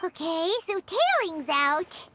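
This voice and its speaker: Tiny game fairy sidekick, squeaky child voice, very high, wildly animated, strong music underneath. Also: female voice, slow, heavily acted. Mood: curious. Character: tiny excitable childlike fairy companion, female, chatty and curious. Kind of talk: video game character acting.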